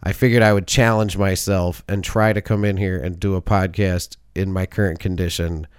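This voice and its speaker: A man's voice: sits low, fairly even intonation, moderate pace, smooth. A man hosting a sports podcast, talking alone in a rambling, jokey way and often correcting himself mid-sentence. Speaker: podcast host. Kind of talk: casual sports podcast monologue.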